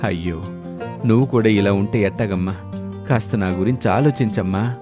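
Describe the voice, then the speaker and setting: Man acting a deep, grumbling henpecked husband. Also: normal speed, music in the background, natural voice. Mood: plaintive, hungry. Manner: grumbling, plaintive. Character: exasperated, hungry, grumbling middle-aged henpecked husband. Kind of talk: dramatic Telugu moral story cartoon dialogue.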